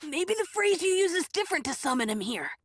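A woman's voice: very high-pitched, sing-song, fast, no room sound, studio quality. A woman voicing a small, eager, innocent young boy hero in an anime game. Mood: helpful. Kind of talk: anime game dub acting.

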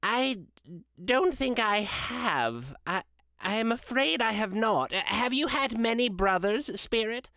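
A man's voice: extremely high pitch, expressive, takes it slowly, sharp tone, no echo, studio quality, voice pushed up, full character voice. A man voicing a frightened old miser in a storybook reading, pleading and hesitant. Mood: timid, humbled.

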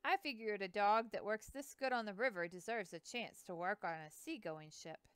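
A woman's voice: medium pitch, moderate pace, a bit bright, clean tone, no room sound, lightly acted. A woman voicing a plainspoken, proud male river dog breeder with a folksy way of talking.

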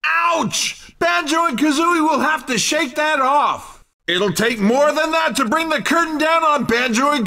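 A man voicing an excitable, cheeky race commentator in a video game, with punchy, exclamatory delivery. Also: extremely high pitch, recorded from a distance, full character voice.

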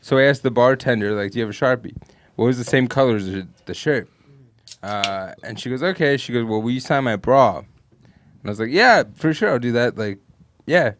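A man in his forties tells a comic anecdote in a small podcast studio. He speaks on a handheld mic at an everyday middle pitch and acts out the other people's lines as he goes. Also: brisk. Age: 40s. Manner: relaxed anecdote telling with quoted dialogue. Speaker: comedian podcast guest. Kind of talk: casual chat, storytelling.

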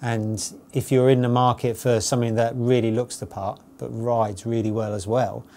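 A man's voice: mid-range voice, level tone, normal speed, a little mellow. A man in his forties giving an unscripted, matter-of-fact spoken review as a motorcycle road tester. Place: studio with dark backdrop, seated at table.

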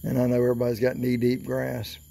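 A man's voice: mid-range voice, monotone, gravelly, dark tone. A middle-aged man from Florida, walking through an open barn at night with a phone camera, talking casually and counting calves aloud. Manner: slow, unhurried country talk with fillers, counting animals aloud. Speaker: cattle seller filming his barn. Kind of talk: casual walkthrough vlog. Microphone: handheld phone camera. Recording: noisy outdoor barn phone recording.

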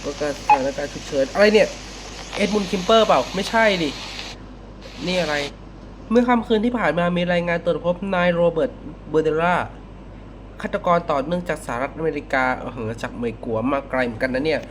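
A man giving casual, unscripted reaction commentary as a video host, halting and repeating words as he thinks. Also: a little high, a little hiss or hum.

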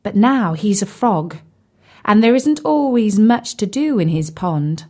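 A woman tells a children's story at a slow, careful pace. Her pitch moves in lively swoops, and she speaks expressively as if directly to young listeners. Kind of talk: scripted children's story narration.

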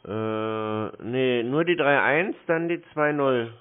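A man's voice: medium pitch, slow, clean tone. A man in a casual phone conversation, playing a customer who sounds hesitant, naive and questioning. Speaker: prank caller posing as a customer. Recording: tinny band-limited phone line.

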